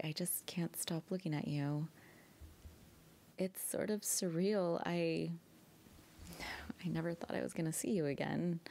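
A woman's voice: fairly low pitch, a little mellow, slight background noise. A young woman playing a shy, flustered woman reuniting with an old flame in an intimate, hesitant, giggly ASMR roleplay. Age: young adult. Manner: hesitant, giggly, often breathy asides. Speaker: girlfriend roleplay audio performer.

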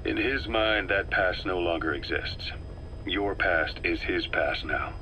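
A man acting a calm, calculating middle-aged intelligence operative in a video game, speaking in a measured, deliberate way. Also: sits low, a little hiss or hum, heavily acted, rough recording. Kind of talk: dramatic game dialogue, briefing.

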